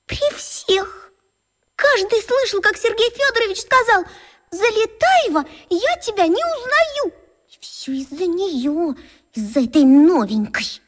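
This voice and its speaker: A woman's voice: very high-pitched, exaggerated voice. An actress voicing an earnest, anxious straight-A schoolgirl of about eleven in a radio play. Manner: anxious, rule-abiding.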